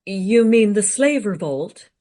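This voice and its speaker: A woman's voice: mid-range voice, lively intonation, takes it slowly, mellow. A woman playing a courteous modern interviewer in a radio play, asking tactful but probing questions.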